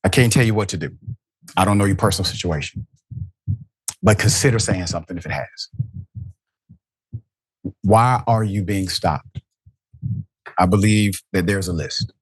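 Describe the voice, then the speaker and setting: Male host, emphatic political commentary, deep-ish voice, dry studio sound. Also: moderate pace, long pauses, slightly rough, dry recording, low-quality recording. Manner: emphatic, rhetorical questions. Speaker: show host.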